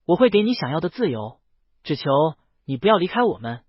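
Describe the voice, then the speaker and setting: A man's voice: high-pitched, very animated, fairly quick, breathy edge, no room sound, dull audio. A man narrating a scripted first-person story as an audiobook reader.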